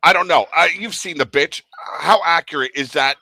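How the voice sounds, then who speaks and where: Wry male podcast host, bright forceful tone, dry close mic. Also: fairly high pitch, moderate pace, no room sound. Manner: wry, joking commentary with colorful comparisons. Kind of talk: wrestling recap podcast.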